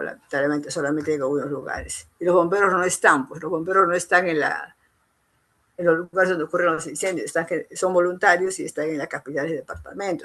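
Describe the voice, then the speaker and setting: A woman's voice: fairly low pitch, a bit bright. A woman with a Peruvian accent lectures informally to students. She restates key phrases to drive them home and often closes a thought with a brief tag question. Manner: repeats phrases for emphasis, uses tag questions. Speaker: engineer giving a training lecture.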